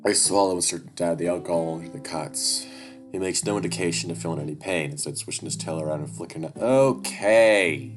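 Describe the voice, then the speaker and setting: A man's voice: low-pitched, a little fast. A man reading a story game aloud and commenting on it, swearing often and restarting his sentences. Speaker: gamer playing a story game. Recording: music playing clearly behind.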